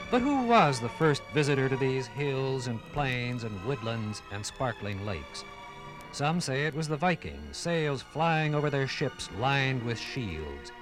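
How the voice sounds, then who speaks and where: Casting: male narrator for a vintage radio history documentary, dramatic measured phrasing, music backing. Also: mid-range voice.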